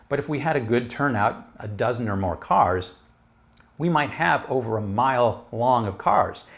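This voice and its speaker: American male, 50s, lowish voice, conversational explainer for a gear review.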